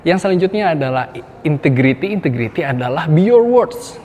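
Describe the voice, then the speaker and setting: A man's voice: fairly high pitch, very animated, moderate pace, a little mellow. An Indonesian man with an Indonesian accent teaching public speaking in an educational video lecture. He speaks animatedly, stresses key words, and addresses viewers directly. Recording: long reverb with faint background noise.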